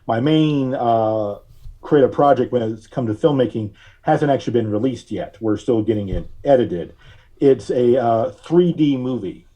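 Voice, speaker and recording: A man being interviewed as a filmmaker, telling stories in an explanatory, conversational way with drawn-out pauses. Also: mid-range voice, warm tone. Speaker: guest, filmmaker.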